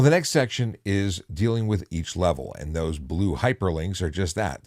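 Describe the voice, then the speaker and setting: A man reading instructional material in a measured, deliberate way, with casual off-the-cuff asides. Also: low-pitched, darkish tone, no room sound, studio quality. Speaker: narrator reading a handbook with commentary.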